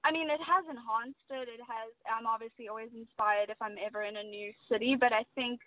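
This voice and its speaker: A woman with a South African accent, a singer-songwriter talking casually as an interview guest, chatty and quick to laugh, with plenty of fillers. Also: a little high, fairly even intonation. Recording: dry, tinny phone-like line.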